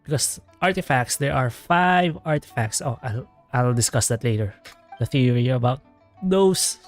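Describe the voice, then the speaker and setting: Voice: medium pitch, lively intonation, moderate pace. Chatty streamer musing over a game. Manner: chatty, rambling fan theorizing. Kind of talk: casual gameplay commentary. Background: game music.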